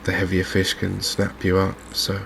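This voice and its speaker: A soft-spoken man giving unhurried ASMR commentary on a video game. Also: sits low, completely flat delivery, creaky, noisy background. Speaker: gaming video host.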